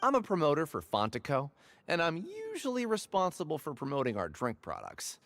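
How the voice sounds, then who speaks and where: A man's voice: fairly high pitch, huge pitch swings, brisk, fairly bright tone, slight background noise, full character voice. An actor playing an anxious, aggrieved salesman in a video game, flustered, wordy and theatrically indignant. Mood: upset, indignant.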